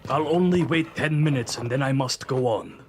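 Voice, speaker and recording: An actor playing a gruff coach driver in a gothic audio drama. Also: male voice, medium pitch, moderate pace, darkish tone, a little hiss or hum, lightly acted. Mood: ominous. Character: gruff male coach driver warning young traveller.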